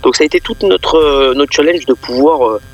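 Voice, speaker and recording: A man speaking off the cuff as a festival organiser and guest in a radio interview, halting and often repeating words mid-phrase. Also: fairly high pitch, dull audio.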